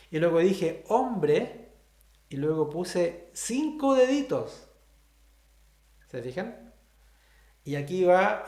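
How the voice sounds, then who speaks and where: A man's voice: a little high, a little mellow, echoey room. A man with a Chilean accent teaching a sign language class, explaining step by step and checking in with students. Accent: Chilean Spanish. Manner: explanatory, asks the class frequent questions. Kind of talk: online class lecture.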